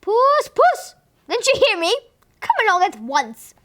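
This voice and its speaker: A woman's voice: very high-pitched, very animated, unhurried, fairly bright tone, full character voice. A woman voicing a stubborn, grumbling elderly husband who is kind underneath, sounding exasperated. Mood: calling loudly.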